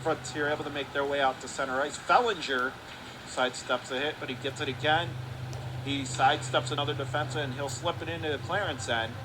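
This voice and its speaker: A man calling live radio play-by-play of a hockey game, talking rapidly and rising to excited shouts on close plays. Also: fairly high pitch, noisy background.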